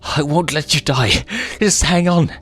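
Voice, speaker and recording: A man's voice: on the high side, unhurried, fairly bright tone, slightly rough, pitched above the performer's own voice. A man voicing an anguished adult lion king in a dramatic story reading, with strained, pleading outbursts. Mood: desperate pleading.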